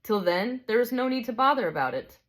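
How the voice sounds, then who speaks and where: A woman voicing a calm, joyful male spirit who speaks gently and reassuringly. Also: a little high, fairly quick, voice pushed up. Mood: serene.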